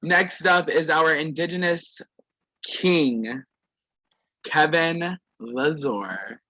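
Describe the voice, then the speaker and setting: Sassy slow-talking man hosting a video call. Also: on the high side, sharp tone, dry recording, lo-fi sound. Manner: playful, sassy catchphrase "period". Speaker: host of an online reality game. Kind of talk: casual video call introductions.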